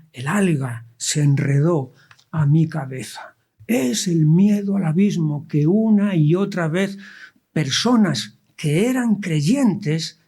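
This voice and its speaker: A man with a Madrid Spanish accent preaching an expository sermon, deliberate, pausing and posing rhetorical questions as he reads scripture. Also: fairly high pitch, darkish tone. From Spain, born in Andalusia and raised in Madrid.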